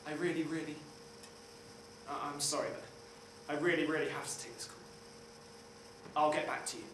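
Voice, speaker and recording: A man's voice: a little high, moderate pace, heavy reverb, distant mic, noisy background, lightly acted. An actor playing a rambling, hesitant man who stammers through an apology on the phone. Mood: apologetic. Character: flustered apologetic ex-lover on the phone.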